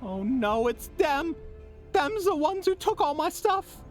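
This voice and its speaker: A woman plays an excitable figure in a fantasy audio drama. The delivery is dramatic and exclamatory, leaping all over in pitch over soft music. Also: a little slow. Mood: excited alarm. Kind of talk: fantasy audio roleplay acting.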